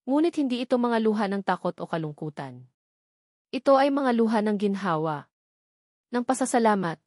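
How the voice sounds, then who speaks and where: A woman giving scripted narration of a romance story, reading in an even, measured way. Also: mid-range voice, dry recording, studio quality.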